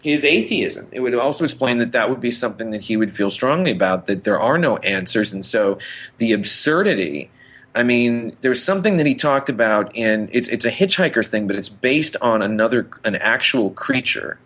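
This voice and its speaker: A man chats as a podcast co-host at an ordinary middle pitch. He muses out loud and rambles, circling back to restart a phrase as he works toward his point. The talk is casual and conversational. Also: expressive, moderate pace. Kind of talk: casual pop-culture chat.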